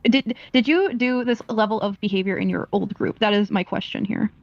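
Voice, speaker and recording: A woman's voice: mid-range voice, moderate pace, no room sound. A woman questioning someone on a voice call, probing and pointed, often restarting her phrases as she presses a question. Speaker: questioner on a call-in stream panel. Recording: dull lo-fi voice call audio.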